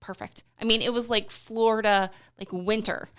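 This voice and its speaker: Smooth-voiced American woman, chatty vlogger. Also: mid-range voice, steady tone, normal speed, fairly bright tone. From California, United States. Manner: chatty, informal, enthusiastic. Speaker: vlog host. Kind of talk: casual conversational recap.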